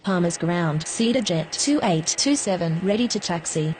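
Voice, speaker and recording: A woman's voice: on the low side, lively intonation, moderate pace, a little mellow, lightly acted. A woman playing a businesslike air traffic radio voice in a game, speaking in scripted phraseology. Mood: businesslike, procedural.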